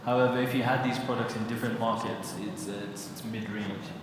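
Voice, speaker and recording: A fashion designer speaking on a conference panel, thoughtful, with many fillers and restarts. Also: male voice, lowish voice, fairly even intonation, a little fast. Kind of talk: panel discussion. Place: large conference hall on stage. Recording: very echoey hall with background noise.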